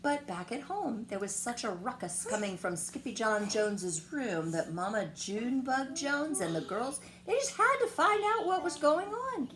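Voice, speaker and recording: A woman reading a children's picture book aloud in an expressive, dramatic storytelling manner. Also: on the high side. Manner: expressive, dramatic pauses and whispers.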